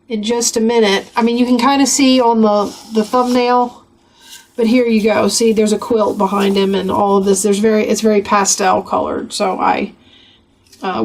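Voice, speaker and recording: Woman with a rough, gravelly cold voice. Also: mid-range voice, darkish tone, rough recording. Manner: chatty, rambling, with many fillers. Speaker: craft channel host. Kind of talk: casual journal flip-through.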